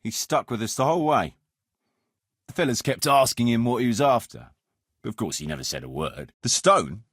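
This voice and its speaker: A man's voice: medium pitch, animated, moderate pace, fairly bright tone, breathy edge, dry recording, clean studio sound. A man playing a roguish, world-weary medieval mercenary captain in a video game, firm but fond, speaking bluntly and colloquially. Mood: wry storytelling. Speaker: game character, band leader. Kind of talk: video game dialogue acting.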